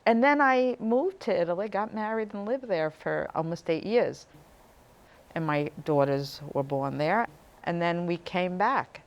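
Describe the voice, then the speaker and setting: A middle-aged woman, a talk show guest, telling her family story in a lively way. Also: lowish voice, measured pace, lo-fi sound. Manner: lively storyteller, light laughs. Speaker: guest, community director. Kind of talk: interview chat.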